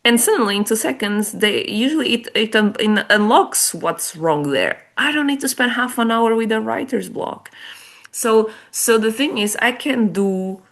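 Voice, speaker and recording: A woman's voice: a little high, lively intonation, normal speed, fairly bright tone. A woman with a Portuguese accent, a non-native English speaker, explaining her ideas in a chatty, casual interview. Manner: chatty, explanatory, many restarts and small laughs. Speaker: guest author and business development head.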